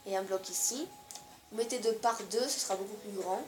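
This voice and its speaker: A woman's voice: mid-range voice, fairly quick, roomy sound, a little hiss or hum. A woman with a cold, giving hesitant step-by-step building instructions over gameplay. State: stuffy with a cold. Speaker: gaming video creator.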